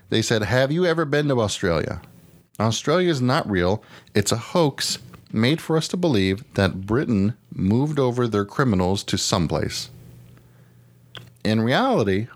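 A man hosting a podcast, reading news items aloud in an informal, bantering style with frequent interjections. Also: medium pitch. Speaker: podcast host. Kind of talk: casual comedic news chat, reading articles aloud.

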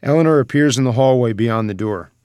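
A man's voice: mid-range voice, fairly quick, darkish tone, smooth, no room sound. Male narrator reading screenplay, dry sound. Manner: dramatic present-tense storytelling. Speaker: narrator reading stage directions.

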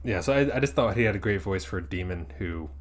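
A man riffs through a casual movie-review podcast in a playful, joking way. His pitch swings widely from line to line, lively and animated throughout. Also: quick pace. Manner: animated, joking, slipping into silly voices. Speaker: podcast co-host.

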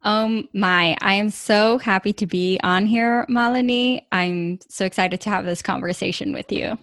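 A woman's voice: medium pitch, fairly even intonation, a little slow, a bit bright, dry recording, clean studio sound. A woman in her thirties from California, a podcast guest telling her story in an eager, chatty way. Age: 30s. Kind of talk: podcast interview.

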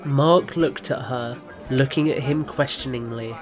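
A man's voice: medium pitch, measured pace. Man narrating an audio drama over music.

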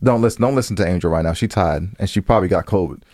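A man's voice: low-pitched, racing, a little mellow, no echo, clean studio sound. A man co-hosting a casual advice show, talking in slang, bluntly, and repeating phrases for emphasis. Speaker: podcast co-host giving advice. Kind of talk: casual advice banter with co-host.

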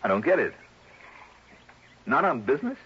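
An actor playing a wry, wary American investigator in an old radio drama, talking in a folksy, emphatic, conversational way. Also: male voice, mid-range voice, huge pitch swings, normal speed, husky, full character voice. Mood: puzzled, skeptical. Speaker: lead actor and narrator. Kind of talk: 1950s-60s American radio detective acting, first-person narration and dialogue. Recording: dull vintage radio recording with music.

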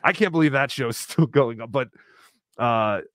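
A man's voice: mid-range voice, moderate pace, a bit bright, no echo. Casual swearing male streamer. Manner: loose, swearing, laughs while talking. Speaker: livestream co-host reading donations. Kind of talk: casual profane chat.

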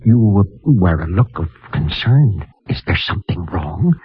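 An actor playing a gentle, solemn mission priest in 1950s radio drama, speaking in a hushed, pious and courtly way. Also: male voice, low-pitched, big pitch swings, measured pace, slight background noise. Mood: troubled. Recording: dull old radio recording.

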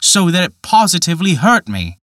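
A man's voice: sing-song, normal speed, fairly bright tone, dry recording, clean studio sound. A man voicing a frightened, pleading young man who stammers in a raised voice. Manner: high, anxious, stammering.

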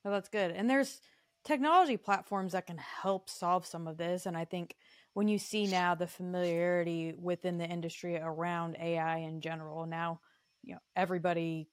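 Woman podcast host, lower pitch, relaxed and affirming, dry studio sound. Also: moderate pace, no room sound. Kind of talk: conversational interview.

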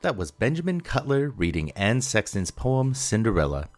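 A man's voice: fairly low pitch, very animated, moderate pace, no echo. A man giving a scripted podcast sign-off as guest host. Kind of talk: podcast outro announcement. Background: music.

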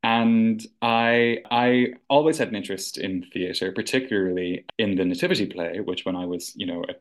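Low-voiced man, earnest podcast guest. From rural Ireland. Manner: thoughtful, earnest, with frequent restarts and "you know". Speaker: artistic director, guest. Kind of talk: conversational podcast interview.

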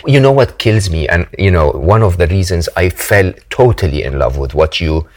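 Effusive man, fairly deep. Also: expressive, normal speed. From Egypt. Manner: effusive, emphatic, heartfelt. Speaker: host. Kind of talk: conversational interview.

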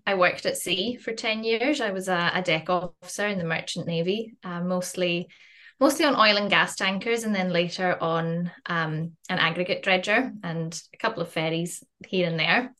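A woman's voice: on the low side, steady tone, unhurried, bright, forceful tone. A woman with a Scottish accent, a coach guest speaking casually with many fillers. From Western Isles, Scotland. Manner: chatty, many fillers like "um" and "kind of". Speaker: guest, life purpose coach. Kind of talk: casual interview chat.

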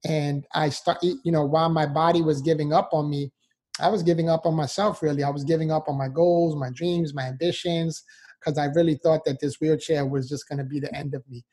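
Upbeat, mellow-voiced New man. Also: fairly high pitch, fairly even intonation, fairly quick. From New York, USA. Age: 40s. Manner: earnest, upbeat, with light laughs and fillers. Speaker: motivational speaker guest. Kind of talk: interview storytelling.

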